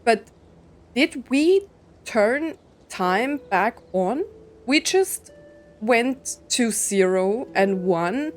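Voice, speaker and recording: A woman's voice: a little high, animated, very slow, soft background music. A woman streaming her reaction to a story game, musing aloud in puzzled questions and reading lines out.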